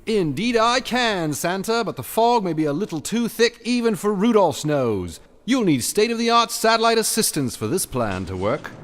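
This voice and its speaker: A man's voice: sits high, very animated, normal speed, few pauses, in a large hall. A man voicing a huge, showboating, self-satisfied soda-bottle creature in a comic audio play. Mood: obliging, smug. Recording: loud music behind.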